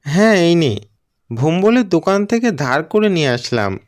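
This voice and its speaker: Man playing an animated, kindly poor woodcutter. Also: on the high side, normal speed, voice pushed up. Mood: homely, resigned. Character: poor kindly woodcutter father, weary but dutiful. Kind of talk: cartoon story voice acting.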